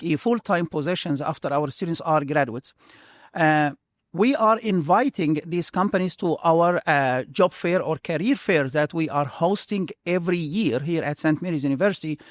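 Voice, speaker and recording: A middle-aged man from Egypt, a computer science professor answering interview questions in an earnest, halting way with frequent restarts. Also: a little high, dry recording.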